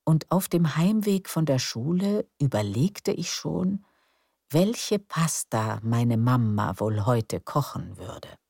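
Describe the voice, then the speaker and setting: A woman narrating a calm bedtime story in a slow, soft, soothing way. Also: very deep voice, creaky, slightly rough, dry recording, studio quality.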